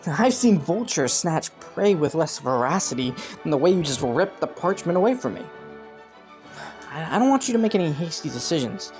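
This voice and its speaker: Young ambitious prince played by a man for romantic fantasy roleplay: lighter pitch, courtly diction, gently hesitant, scheming but earnest, faint music underneath. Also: big pitch swings, moderate pace. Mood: flustered, surprised. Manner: formal courtly phrasing, softly hesitant at times. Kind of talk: romantic fantasy audio roleplay addressed to listener.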